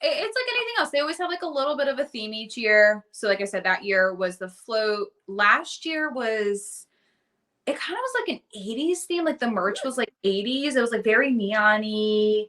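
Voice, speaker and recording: Bright-voiced woman with a Baltimore accent. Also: on the high side, sing-song. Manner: rambling, full of "like" and "um", quick self-corrections. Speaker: podcast co-host. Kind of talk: casual chat.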